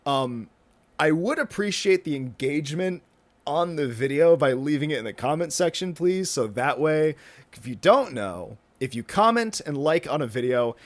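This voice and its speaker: Guy in his twenties rambling on his podcast, lots of ums and restarts, says dude and bro, mid pitch voice. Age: 20s. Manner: rambling, self-interrupting, many fillers and restarts. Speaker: podcast host. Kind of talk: rambling solo podcast talk. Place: indoors, with a thunderstorm rolling in outside.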